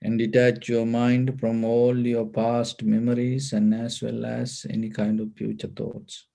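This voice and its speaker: A man leads a guided meditation as a Buddhist teacher, giving calm, deliberate instructions in short phrases. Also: mid-range voice, creaky.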